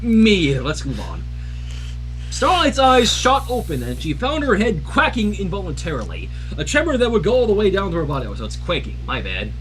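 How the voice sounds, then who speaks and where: An expressive, informal livestream reader reading fan fiction aloud with casual reactions. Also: medium pitch, fairly quick, faint music behind, poor audio.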